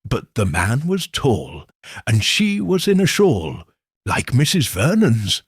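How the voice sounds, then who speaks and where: A man's voice: mid-range voice, huge pitch swings, slow, husky, dry recording, studio quality, exaggerated voice. A man voicing a plain-spoken village fisherman telling his tale in an audiobook mystery. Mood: recounting.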